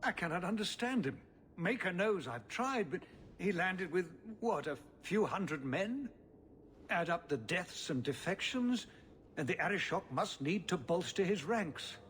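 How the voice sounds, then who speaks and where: Careworn ruler with swooping intonation. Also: male voice, high voice, animated, a little slow, fairly bright tone, a little hiss or hum. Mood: weary, diplomatic. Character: careworn, formal older male city ruler and father. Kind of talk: video game cutscene acting.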